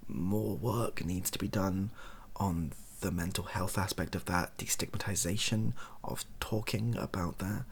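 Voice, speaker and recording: A softly spoken British man improvising a monologue as a video host, hesitant, thinking aloud and correcting himself as he goes. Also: deep voice, steady tone, a little slow, hardly any pauses, husky. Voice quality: soft-spoken.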